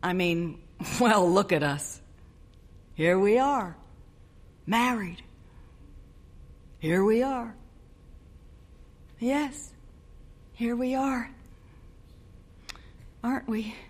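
A young newlywed husband, as a woman reader plays him, trying to smooth things over. He coaxes and soothes while his patience wears thin. The voice stays close to the reader's own. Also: a little high, very slow, pauses often, pitched above the performer's own voice. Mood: coaxing. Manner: coaxing, soothing. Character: young newlywed husband, placating, a bit exasperated. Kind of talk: dramatic reading of a short story.

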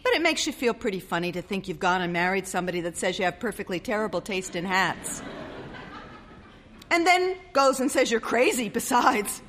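A woman voicing a young newlywed wife who chatters in a quick, breathless, pleading way during a short story reading. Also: medium pitch, big pitch swings, fairly bright tone, a little hiss or hum. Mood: wounded.

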